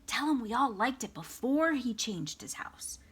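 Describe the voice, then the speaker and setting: A fussy, scandalized storybook neighbor, acted out by a woman reader, pitched high and bright. The delivery is exaggerated and disapproving, with big swoops in pitch. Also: very animated, a little slow, husky, full character voice. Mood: indignant.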